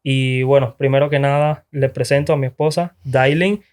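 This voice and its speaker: A man's voice: mid-range voice, steady tone, normal speed, a little mellow. Man hosting a podcast interview. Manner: encouraging, asks guiding questions. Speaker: podcast host. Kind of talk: casual interview.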